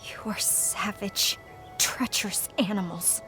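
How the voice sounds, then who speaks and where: A woman's voice: mid-range voice, slow pace, music in the background, close to an everyday voice, rough recording. An actress playing a bitter, defiant young woman in a video game, speaking with intense, accusing delivery. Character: defiant young woman, bitter, fearful former prisoner of beasts. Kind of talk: dramatic video game acting.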